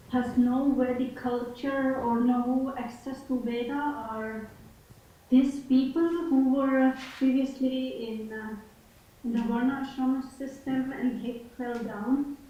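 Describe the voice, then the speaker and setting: A woman's voice: high-pitched, fairly even intonation, slow, dark tone. A woman student putting hesitant questions to a teacher in a class. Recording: very echoey room, faint noise.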